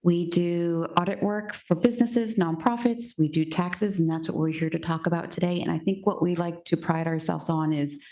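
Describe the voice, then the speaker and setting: A woman's voice: lowish voice, fairly even intonation, normal speed, few pauses, mellow. A woman from Marin, California, an accountant introducing herself on a panel in a plain, friendly way.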